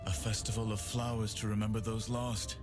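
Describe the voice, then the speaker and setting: A very deep, haunted swordsman from a fantasy video game, played by a male actor. He speaks in solemn, measured lines that carry weariness and menace, with clear music playing behind him. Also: level tone, lightly acted. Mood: weary, sorrowful. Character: brooding masked undead swordsman hunting demons. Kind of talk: scripted game character voicelines.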